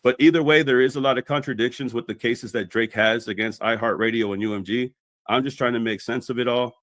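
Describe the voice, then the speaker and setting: A man's voice: medium pitch, steady tone, quick pace, a bit bright, no room sound, studio quality. A man delivering scripted explanatory commentary as a video commentator.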